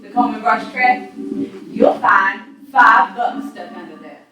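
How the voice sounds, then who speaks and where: A woman playing a dying, bitter young woman in a stage play, scornful and prone to shouting, recorded from far off in an echoey studio. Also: a little high, a little slow. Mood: defiant. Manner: shouting, scornful, feverish outbursts. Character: dying bitter young woman, sick in bed, fierce temper. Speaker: stage actor. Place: small theater studio.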